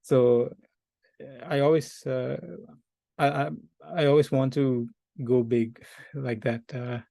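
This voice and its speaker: A man's voice: mid-range voice, little pitch movement, takes it slowly, vocal fry, dark tone, no room sound. A man, introducing himself hesitantly on a video call with many fillers and laughs. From Sri Lanka. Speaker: PhD student guest. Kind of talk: casual self-introduction.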